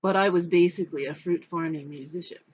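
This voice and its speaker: An American in their fifties from Los Angeles, giving a personal talk to camera in a reflective, halting, rambling manner. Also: medium pitch. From Los Angeles, United States. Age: 50s. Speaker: spiritual storyteller. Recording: dull, muffled sound with background noise.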